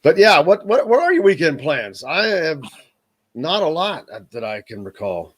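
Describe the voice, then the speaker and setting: A man hosting a sports radio show, chatting casually, joking and rambling in an animated way. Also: on the high side, moderate pace.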